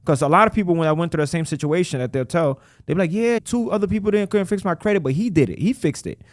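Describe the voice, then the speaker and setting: A man who runs a credit repair business, talking casually in an interview and acting out his callers in quick voices. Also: a little high, animated, no echo, studio quality. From United States.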